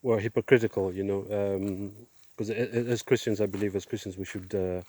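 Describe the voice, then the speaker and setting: A middle-aged man giving a personal testimony outdoors, speaking in a halting, measured way with pauses. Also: fairly low pitch, fairly even intonation, dark tone. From Zimbabwe, born in Zambia. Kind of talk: personal testimony, casual monologue. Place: outdoors, on a walk.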